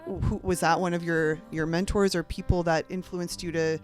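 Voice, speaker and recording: Chatty woman interviewer, low voice. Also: steady tone, normal speed, soft background music. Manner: chatty, lots of fillers and restarts. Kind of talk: casual couch interview.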